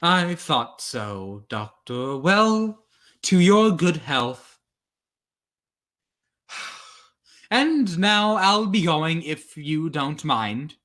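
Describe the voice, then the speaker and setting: A cool professional killer in an old-time radio thriller, acted by a man, speaking in a fairly high male voice at a very slow pace. He is formal and measured, understating every threat with careful politeness. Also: very animated, a bit bright, heavily acted. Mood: polite menace.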